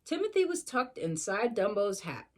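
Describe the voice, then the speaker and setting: A woman's voice: medium pitch, big pitch swings, moderate pace. A woman reading a storybook to young children expressively, adding sympathetic little asides.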